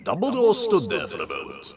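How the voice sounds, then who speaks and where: A man's voice: fairly high pitch, wildly swooping pitch, a little fast, slight background noise. A man reading absurd comic fiction aloud as a host, in a theatrical, mock-serious way with big swings of emphasis.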